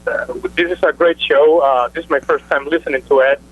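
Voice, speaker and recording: A man's voice: a little high, steady tone, no room sound. Venezuelan guy calling in, earnest, kinda muffled dry phone sound. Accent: Venezuelan Spanish. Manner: earnest, halting. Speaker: caller.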